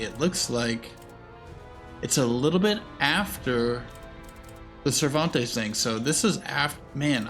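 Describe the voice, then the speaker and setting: A man's voice: mid-range voice, a bit bright. A man giving casual, laid-back playthrough commentary as a game streamer, reacting in short remarks.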